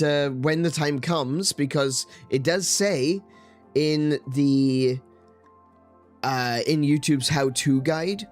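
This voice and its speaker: A man hosting his live stream, chatting casually and rambling with hesitant fillers and restarts, his voice a little croaky and strained. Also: mid-range voice. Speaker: streamer host.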